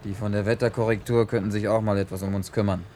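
A man's voice: fairly low pitch, flat delivery, quick pace, a little mellow, slight background noise, natural voice. An actor playing a matter-of-fact male colleague on a field team in a science fiction radio play. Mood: brisk.

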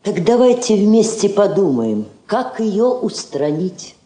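Mellow, poised elderly woman diplomat. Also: mid-range voice, sing-song. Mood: earnest, composed. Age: older. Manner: measured, diplomatic, subtly ironic. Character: poised elderly Soviet woman ambassador, courteous, shrewd, firm. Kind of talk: Soviet 1960s radio drama acting. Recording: roomy vintage drama recording with music.

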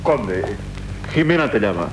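An actor playing an earnest, awkward, loyal knight in 1970s radio theatre, speaking respectfully and hesitantly. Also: male voice, very animated, a little slow. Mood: nervous, earnest. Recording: rumbly, noisy 1970s radio recording.

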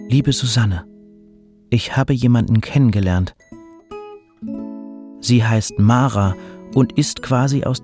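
Awkward, self-effacing male poet. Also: lowish voice. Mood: reflective. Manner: hesitant, stammering, self-correcting. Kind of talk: audio drama dialogue and letter narration.

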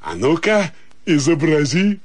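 A man's voice: a little high, very animated, a little slow, no room sound. A man playing a jovial older joker in a radio play, speaking in a pompous, chuckling singsong. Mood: playful, teasing. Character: jovial, clowning older host, male, pompously witty. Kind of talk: theatrical radio play acting.

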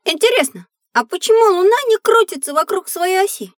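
A woman voicing a curious, eager and naive young schoolboy in an educational audio drama, with an inquisitive, expressive manner. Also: very high-pitched, fairly quick, no room sound, studio quality. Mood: curious, puzzled.

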